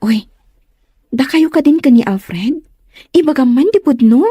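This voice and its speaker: A woman's voice: high-pitched, expressive, measured pace, dark tone, husky, dry recording, clean studio sound, full character voice. A woman acting a chatty, teasing young friend and confidante in an Ilocano comedy drama. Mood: teasing, friendly.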